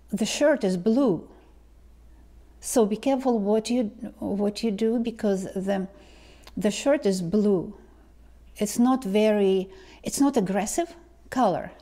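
A woman, talking slowly to camera as a tarot reader, pausing and restarting often. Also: medium pitch, mellow. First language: Ukrainian or Russian. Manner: slow, halting, many restarts and ums. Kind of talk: casual vlog talk to camera. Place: living room indoors. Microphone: lapel mic.

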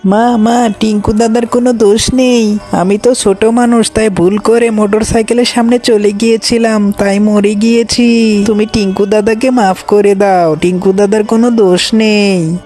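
A small ghost girl acted by a man with a high voice that stays on an even line. She pleads earnestly and innocently, cartoon style, over music. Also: normal speed, lightly acted. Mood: pleading. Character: pleading innocent ghost of a witch's little daughter. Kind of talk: children's cartoon story voice. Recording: music behind the voice.

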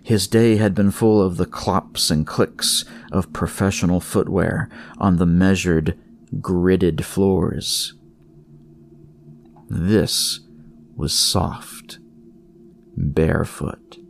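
A man narrating scripted horror fiction in a measured way, with dramatic pauses. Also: sits low, steady tone, vocal fry, slight background noise.

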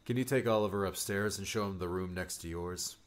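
A man's voice: sits low, a little fast, natural voice. A man playing a brave, brusque time traveler in an audiobook, speaking casually and tersely. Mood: brusque, reassuring.

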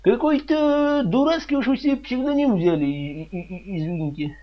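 A man's voice: extremely high pitch, lively intonation, moderate pace, muffled recording. A man playing a fussy, pompous, flustered radio host who stammers and hesitates in a comedy sketch.

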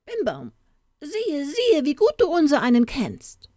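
A woman's voice: wildly swooping pitch, measured pace, mellow, no room sound, studio quality, exaggerated voice. A woman voicing a small male church goblin in a storybook, cheeky and mocking, then begging and wailing. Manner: squeaky and mocking, later whining. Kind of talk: storybook character voice.

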